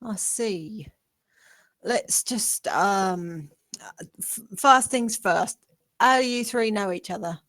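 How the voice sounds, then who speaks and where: Woman as a slow, patient police detective. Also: medium pitch, a bit bright. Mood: bemused. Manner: hesitant, measured, polite. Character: patient, bemused police detective questioning suspects. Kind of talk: scripted table read of a comedy screenplay.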